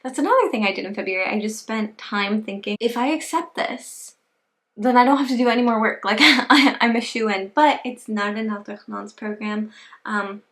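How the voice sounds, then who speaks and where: A chatty young woman records a casual vlog diary on her phone. Her voice sits a little high, and she talks in a loose, filler-filled way that sounds both nervous and excited. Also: moderate pace. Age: young adult. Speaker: vlogger applying to grad school.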